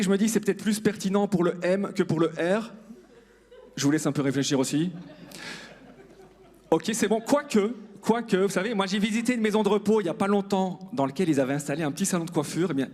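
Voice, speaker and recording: Guy in his 40s doing standup, talks fast, kinda high voice, chats to the audience, pokes fun at himself. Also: darkish tone. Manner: self-deprecating, addressing the audience directly. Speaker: stand-up comedian. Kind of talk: stand-up comedy monologue to an audience. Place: live comedy club stage.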